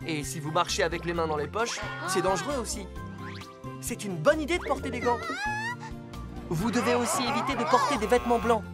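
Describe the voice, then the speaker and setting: Earnest young hero car from a kids cartoon, teachy, up and down pitch, loud music. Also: male voice, animated, fairly quick. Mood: earnest, instructive. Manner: instructive, clearly phrased, earnest. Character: earnest, caring young male rescue police car. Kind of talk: French children's cartoon dub, safety lesson.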